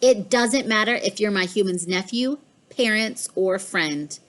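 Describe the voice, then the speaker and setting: A woman's voice: medium pitch, unhurried, a bit bright, close to an everyday voice. A woman voicing a smug, mischievous pet cat in a storybook, with a boastful, emphatic delivery. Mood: haughty. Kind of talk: storybook read-aloud.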